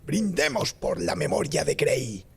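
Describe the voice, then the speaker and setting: A man's voice: a little high, huge pitch swings, measured pace, creaky, slightly rough, voice pushed up, heavily acted. A man voicing a brash, cynical, tipsy young medical student who talks with a boastful swagger in an audiobook tale. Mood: boastful, defiant. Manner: jactancioso, swaggering, exclamatory. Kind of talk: audiobook character acting.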